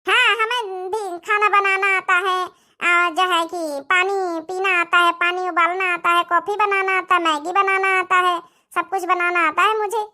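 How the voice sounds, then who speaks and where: A woman's voice: very high-pitched, steady tone, unhurried, pushed and bright, exaggerated voice. A woman voicing a boastful young college-going daughter who talks in an eager, showy way in a cartoon comedy. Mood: proud.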